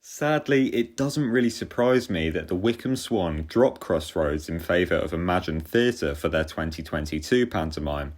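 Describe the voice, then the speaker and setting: A British man giving a scripted theatre review as host, in a measured, articulate and opinionated way. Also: low-pitched, darkish tone. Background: music under the voice.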